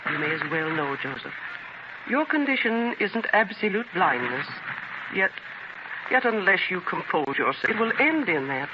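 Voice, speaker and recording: A woman's voice: fairly low pitch, sing-song, normal speed. A woman acting in a 1930s radio drama as the devoted, anxious wife of an ailing man, earnest and theatrical. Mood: anxious, gentle. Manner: earnest, theatrical, hesitant on hard news. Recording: scratchy, muffled 1930s radio transcription.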